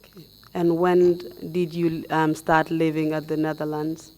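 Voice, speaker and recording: A young woman, her voice hoarse, questions a witness as counsel in a formal hearing. Her delivery is measured and polite, with very little rise and fall in pitch. She speaks into a headset mic in a studio. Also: flat delivery, a little mellow. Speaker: commission counsel.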